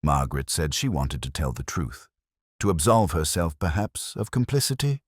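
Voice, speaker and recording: A man playing a weary, brooding middle-aged police inspector, narrating a scripted detective story in a measured, reflective, literary manner. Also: rumbling low, big pitch swings, breathy edge, no room sound, clean studio sound, full character voice. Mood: somber, reflective.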